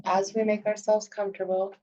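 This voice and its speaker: A woman leading a guided visualization meditation, speaking in soothing, deliberate phrases with long pauses. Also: mid-range voice, little pitch movement, mellow, muffled recording.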